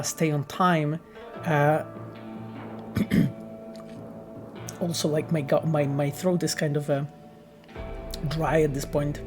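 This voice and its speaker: A man who is a game developer, answering chat questions on a casual livestream with earnest, halting explanations and many restarts. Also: on the high side, darkish tone.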